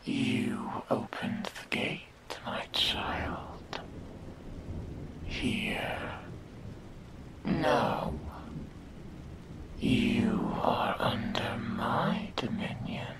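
Woman acting a slow, whispering, sinister demon girl. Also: very deep voice, animated, exaggerated voice. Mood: mocking, menacing. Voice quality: breathy whisper, mostly unvoiced. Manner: slow, mocking, condescending, theatrical delivery. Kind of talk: whispered ASMR roleplay. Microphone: close binaural microphone.

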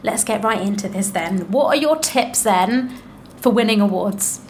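A woman's voice: mid-range voice, unhurried. A woman hosting a podcast interview in a chatty, informal, effusive way. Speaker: podcast host. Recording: clearly noisy background.

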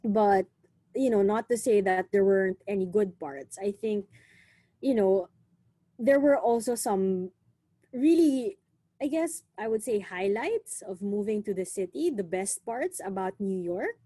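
Young Filipino woman telling stories in a mellow voice over a dry close mic, lively and chatty. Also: medium pitch, a little slow, no room sound. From Philippines. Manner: lively, frequent "you know", mixes in Tagalog words. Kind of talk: casual conversation.

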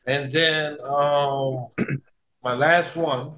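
Enthusiastic, slightly higher-pitched man chatting casually. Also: fairly even intonation, very slow, background noise. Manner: enthusiastic, slangy fan talk. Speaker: podcast guest. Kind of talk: casual chat.

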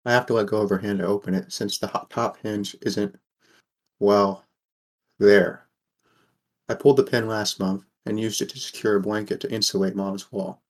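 A man's voice: fairly low pitch, steady tone, normal speed, close to an everyday voice. A man playing a weary, wry sixteen-year-old boy from a poor mining town, narrating a fan audio drama in the first person.

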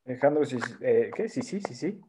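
A man's voice: medium pitch, animated, fairly quick, a crowd in the background. A man with a Mexican accent co-hosting a podcast, easygoing and slangy in casual chat. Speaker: podcast co-host.

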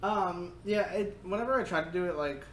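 Casual podcast co-host, woman, lower voice, rambling and filler-heavy, echoey room with faint hiss. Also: fairly quick. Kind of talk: casual chat.

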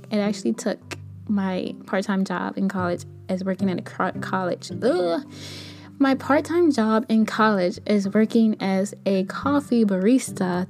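A young woman with a light, soft voice talking casually in a rambling, candid personal podcast monologue. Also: medium pitch, gravelly. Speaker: podcast host; kindergarten teacher.

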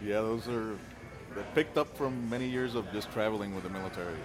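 Male interview guest, rapid casual delivery, hesitant and jokey. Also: on the low side. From Puerto Rico. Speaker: guest, brewer. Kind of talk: casual storytelling in a podcast interview.

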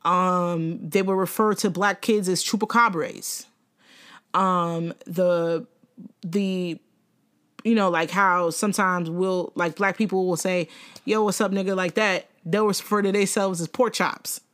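A young woman co-hosting a podcast in casual chat, animated, laughing often and swearing freely. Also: fairly low pitch, measured pace. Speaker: podcast co-host.

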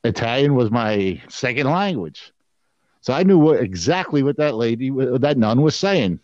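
Man from the Philadelphia area, unhurried storyteller, muffled lo-fi mic, dry sound. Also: medium pitch, big pitch swings, darkish tone, no echo. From Philadelphia area, USA. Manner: laid-back storytelling, chuckles often. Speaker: podcast co-host. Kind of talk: casual chat.

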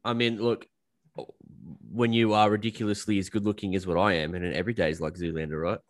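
A man's voice: fairly low pitch, steady tone, fast, no room sound. An Australian man chatting casually as an interview guest, explaining things in a blunt, jokey, colloquial way. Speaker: podcast guest, coal miner. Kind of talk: casual chat, explaining his work.

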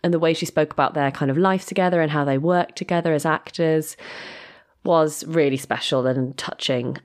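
A woman's voice: sits low, a little mellow. A British woman co-hosting a podcast, chatting casually in an effusive, gushing way. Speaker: podcast co-host.

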